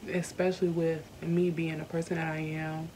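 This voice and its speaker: A young woman, speaking at home to her camera. Her voice sits low and dark, and her delivery is candid and earnest, slowed by feeling and full of small hesitations. A faint hiss runs under the recording. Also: flat delivery. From Southern United States. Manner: earnest, candid, slowed by emotion, many ums. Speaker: YouTuber. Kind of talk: personal vlog storytelling. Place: indoors at home.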